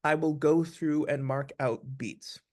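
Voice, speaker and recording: A man with a somewhat higher-pitched voice talks as an actor in an interview. He explains in a conversational style, thoughtfully and deliberately, laying things out point by point. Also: fairly even intonation, a little mellow.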